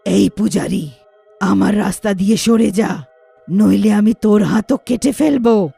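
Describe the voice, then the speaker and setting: A woman voicing a vengeful young witch ghost with loud, dramatic threats and wails for a moral horror story. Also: medium pitch, unhurried, creaky, husky, soft background music, full character voice. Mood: furious, threatening.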